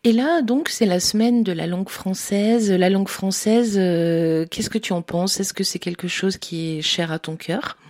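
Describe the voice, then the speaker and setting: A woman's voice: medium pitch, measured pace, hardly any pauses, darkish tone. A woman interviewing a guest on the radio, asking friendly, open questions.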